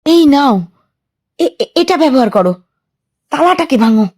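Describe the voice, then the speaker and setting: An actress plays a frightened woman in a horror audio drama. Her voice is high-pitched and urgent. She is bossy and impatient as she hurries her companion along. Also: lively intonation, measured pace, darkish tone. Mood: urgent, tense. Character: frightened, urgent woman fleeing a sinister island. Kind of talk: Bengali audio horror story acting.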